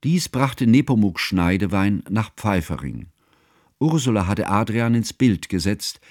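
A man playing a learned, devoted friend who narrates a radio drama in formal, ornate, measured literary style. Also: lowish voice, expressive, darkish tone, no echo, clean studio sound. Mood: sober, measured.

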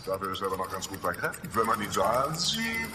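A man's voice: lowish voice, huge pitch swings, fast, roomy sound, big-room sound, music in the background. An actor playing a male boss in a sports squad in a radio drama, easygoing but authoritative. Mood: puzzled.

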